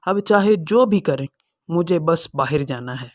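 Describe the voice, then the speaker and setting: Man acting as young adult son, selfish, impatient, dismissive, raised pitch, dry sound, cartoon story. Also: lively intonation, unhurried, dry recording. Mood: impatient, demanding. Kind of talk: dramatized moral story for cartoon.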